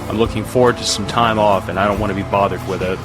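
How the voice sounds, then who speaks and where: An actor playing a stern, gruff army major who speaks in curt orders in an audio drama. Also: male voice, lowish voice, fairly even intonation, fast, close to an everyday voice, poor audio. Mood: businesslike. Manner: barking orders, curt military speech. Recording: music behind the voice.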